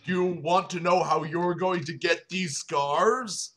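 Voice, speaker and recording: A man voicing a furious middle-aged father who growls threats in a Joker-style manner and bursts into yelling. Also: high-pitched, fairly even intonation, unhurried. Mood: menacing.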